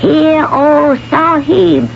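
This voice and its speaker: A solemn old priestess of a river spirit intones her warnings in a slow, archaic chant, her voice set high. It sounds like a 1970s radio play, muffled, with music underneath. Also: steady tone, creaky, slight background noise, heavily acted. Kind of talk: 1970s radio drama acting.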